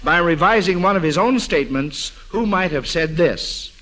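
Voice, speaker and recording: A man's voice: a little high, lively intonation, moderate pace, pushed and bright. An American man hosting a 1940s-style radio quiz, addressing child contestants in an avuncular way on an old broadcast recording. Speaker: quiz show host.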